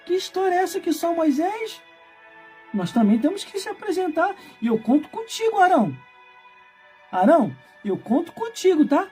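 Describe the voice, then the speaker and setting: A woman's voice: very high-pitched, very animated, normal speed, warm tone, soft background music. A man voicing the biblical Miriam as a scheming woman who coaxes Aaron to make her a priestess, wheedling and pleading. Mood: coaxing.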